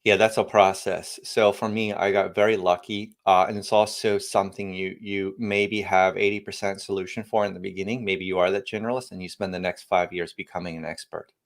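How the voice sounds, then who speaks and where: Deep-voiced man explaining deep tech. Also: level tone, a little fast, hardly any pauses. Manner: rambling, frequent restarts, emphatic bursts. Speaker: guest, tech company founder. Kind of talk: podcast interview, casual technical talk.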